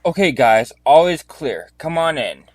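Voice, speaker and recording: A man playing a methodical police detective in an amateur murder mystery audio drama, deliberate and declarative. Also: a little high, expressive, heavy background noise. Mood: businesslike.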